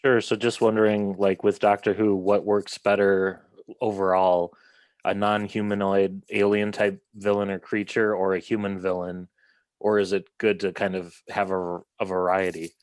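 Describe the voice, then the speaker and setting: Flat, deep-voiced man asking a question. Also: normal speed, no echo. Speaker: audience member asking a question. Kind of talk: casual question on video call. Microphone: video call.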